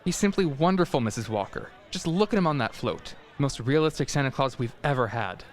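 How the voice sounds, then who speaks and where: A man's voice: medium pitch, lively intonation, brisk, natural voice. A man acting an effusive, gushing department store public relations man in a student radio play.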